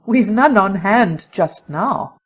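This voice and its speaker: A woman playing a brisk, ingratiating, slightly lofty shop saleswoman in an audiobook drama, polite and cheerful. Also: medium pitch, animated, breathy edge.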